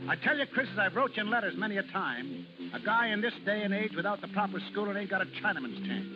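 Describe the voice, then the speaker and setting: An actor playing a brash, streetwise, uneducated carnival barker in a 1930s radio drama. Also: male voice, sits high, lively intonation, fast, background noise. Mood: gruff advice. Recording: muffled 1930s radio with music behind.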